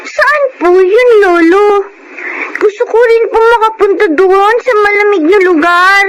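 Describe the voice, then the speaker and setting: A woman's voice: very high voice, measured pace, noisy background. A woman voicing a sweet, curious little girl in a Filipino radio drama.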